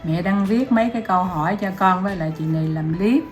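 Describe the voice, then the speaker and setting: A middle-aged woman, the host's mother, speaking in a brisk, teasing, motherly way. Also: medium pitch. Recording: echoey room, mic far off, music behind.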